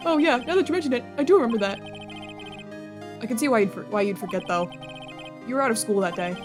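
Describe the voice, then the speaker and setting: A woman's voice: on the high side, fast. Playful woman reading game dialogue. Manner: playful, jokey asides. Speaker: let's play streamer. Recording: game music behind.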